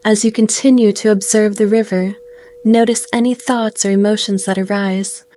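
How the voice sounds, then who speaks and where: A woman guiding a meditation in a gentle, soothing way, speaking in slow phrases with pauses. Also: mid-range voice, fairly even intonation, a little hiss or hum. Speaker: meditation guide. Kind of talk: guided meditation narration.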